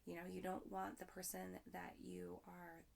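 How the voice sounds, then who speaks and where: A young woman hosting her own podcast, talking in a rambling, upbeat way with lots of fillers, her voice hoarse and raspy. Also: fairly low pitch, completely flat delivery, moderate pace, a little mellow. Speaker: podcast host. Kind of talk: solo podcast talk.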